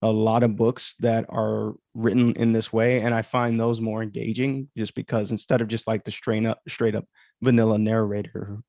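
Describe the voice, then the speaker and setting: Low-voiced man with a gravelly creak. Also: level tone, moderate pace, a little mellow, no echo. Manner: rambling, conversational asides. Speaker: author hosting a livestream of their audio drama. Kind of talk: casual livestream commentary.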